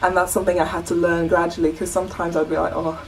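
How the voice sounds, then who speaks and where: A young woman with a low, deep voice talks earnestly and chattily to her camera, scattering "like" and "you know" through her sentences. She sits a little back from the mic. A faint hiss hangs under her words. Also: flat delivery, quick pace, distant mic. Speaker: vlogger. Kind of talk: casual chat to camera.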